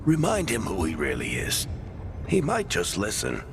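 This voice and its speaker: An actor playing a warm, weary, wry mentor saying farewell in a cinematic video game, speaking informally and unhurriedly. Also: male voice, lowish voice, huge pitch swings, normal speed, vocal fry, exaggerated voice. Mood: gentle, wry farewell. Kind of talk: cinematic game dialogue acting. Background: music.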